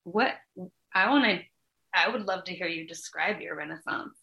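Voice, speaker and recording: Bright, low-pitched woman podcast host. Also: normal speed, vocal fry, lo-fi sound. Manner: reflective, searching for words, spiritual vocabulary. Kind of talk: conversational podcast interview.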